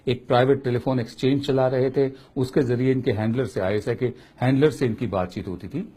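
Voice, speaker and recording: Guy doing political commentary, husky voice, pitch all over the place, keeps asking rhetorical questions. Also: a little mellow. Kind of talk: opinion commentary.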